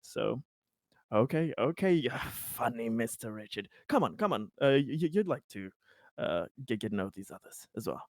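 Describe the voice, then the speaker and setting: A man improvising a glib, self-assured political schemer in a roleplaying game, halting and repeating himself as he placates people. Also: mid-range voice, very animated, no room sound, clean studio sound. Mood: blustering, amused.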